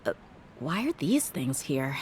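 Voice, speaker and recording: A blunt, deep-voiced mercenary. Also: female voice, very animated, a little slow, a little mellow, slight background noise, heavily acted. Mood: wry. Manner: blunt, wry, sighing. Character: tough, blunt young female mercenary, wry and exasperated. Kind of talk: game voice acting.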